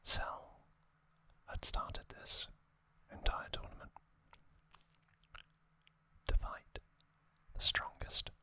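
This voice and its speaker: An ASMR host narrates in a breathy whisper with a very bright, airy edge. The delivery is very slow, broken into short soft phrases with long pauses between them. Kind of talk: whispered ASMR narration recapping an anime series.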